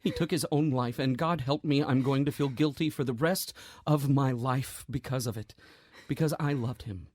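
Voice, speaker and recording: An actor plays a grieving man in an audio drama, gentle and weighed down by guilt. His husky voice is close and dry. He speaks haltingly and earnestly, as if explaining something impossible. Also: mid-range voice, no echo, lightly acted.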